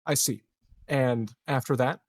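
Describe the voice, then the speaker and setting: Formal, gracious colony administrator, acted by a male player, deliberate delivery, mid-range male pitch, very dry recording. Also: fairly even intonation, no room sound, close to an everyday voice. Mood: measured.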